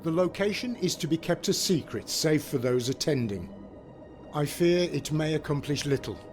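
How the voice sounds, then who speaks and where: Higher-voiced, formal statesman played by a man. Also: lively intonation, close to an everyday voice. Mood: concerned, formal. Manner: formal, measured. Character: worried, formal male senator and statesman. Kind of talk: comic dub voice acting. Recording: faint music under the voice.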